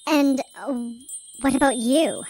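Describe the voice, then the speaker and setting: Lady doing a snooty water molecule, slow and swoopy, high haughty voice, radio play. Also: lively intonation, darkish tone, faint music behind, full character voice, poor audio. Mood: haughty curiosity. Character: snooty, inquisitive female water molecule in the clouds.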